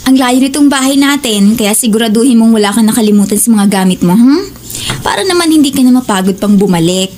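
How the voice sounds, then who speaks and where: A woman's voice: fairly high pitch, expressive, a little fast, darkish tone, a little hiss or hum, close to an everyday voice. A woman with a Filipino accent playing a caring, wary housewife and mother in an audio drama. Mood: caring, reminding.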